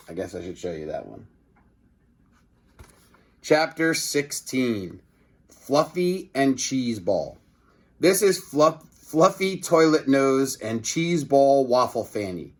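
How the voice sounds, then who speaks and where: A man reading a children's story aloud as narrator, with brief asides to the listeners. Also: mid-range voice. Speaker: storybook reader.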